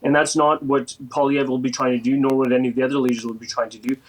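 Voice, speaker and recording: A Canadian man taking part in a political panel, with a mid-range voice. He builds his case step by step in a measured, deliberate way. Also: mid-range voice, little pitch movement, low-quality recording. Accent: Canadian English. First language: not French. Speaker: political commentator.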